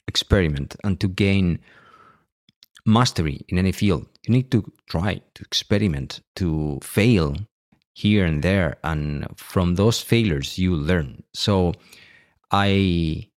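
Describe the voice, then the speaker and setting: A middle-aged man with a Catalan accent, speaking English as a second language in a halting solo monologue with many restarts and fillers. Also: deep voice. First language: Catalan and Spanish. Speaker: podcast host.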